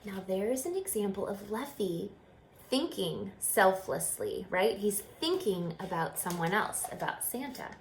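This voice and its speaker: Expressive woman reading to children, roomy sound. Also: medium pitch, measured pace, slight background noise. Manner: expressive, explaining, asks listeners questions. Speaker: story reader and teacher. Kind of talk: children's read-aloud with explanatory asides. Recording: roomy, echoing room sound.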